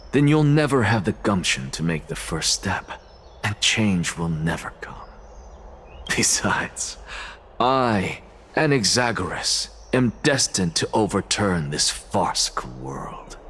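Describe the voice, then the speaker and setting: An actor playing a proud, cynical and defiant scholar in a video game, speaking in a measured, erudite and condescending way. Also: male voice, fairly low pitch, expressive, fairly bright tone, slightly rough, exaggerated voice. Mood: defiant, resolute. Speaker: scholar and professor character. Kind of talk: dramatic video game voice acting. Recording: music behind the voice.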